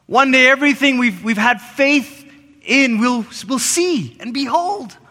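Animated preacher in a reverberant church. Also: male voice, very high-pitched, a little slow. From Malaysia. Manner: animated, swings between loud emphasis and soft hushed lines. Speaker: pastor. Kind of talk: sermon. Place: church sanctuary. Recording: long reverb of a church hall.

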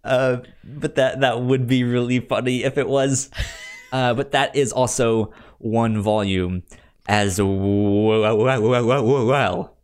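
A man co-hosting a podcast in a casual chat, speaking haltingly and stammering over words as he presents ideas. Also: medium pitch, fairly even intonation, clear, resonant tone. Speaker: podcast co-host. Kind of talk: casual comics pitch chat.

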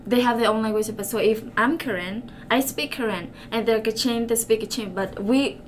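A young woman from Thailand, a native Karen speaker, answering interview questions in halting English, often pausing to search for words. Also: fairly high pitch, slight background noise. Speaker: interview guest.

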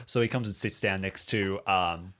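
A man's voice: deep voice, normal speed, a bit bright. A man acting as game master, narrating an improvised tabletop game in a casual way.

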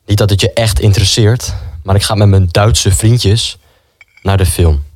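A man's voice: on the low side, fairly even intonation, moderate pace, natural voice. A man acting a curt, zealous young collaborator brother in a wartime radio play. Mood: curt, dismissive.

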